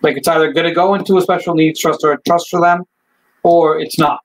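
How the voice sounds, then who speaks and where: A man's voice: on the high side, flat delivery, brisk, low-quality recording. A man from Chile, working as an estate attorney, explaining things casually over a video call, thinking aloud and speaking frankly. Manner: thinks aloud, lots of "like" and "you know", frank.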